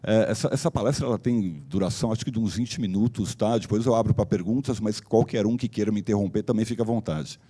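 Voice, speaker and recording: Slightly hoarse man presenting, dark voice. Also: on the low side, fast, hardly any pauses. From Brazil. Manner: loose, explanatory with frequent fillers and restarts. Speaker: company director giving a talk. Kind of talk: conference presentation. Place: conference hall.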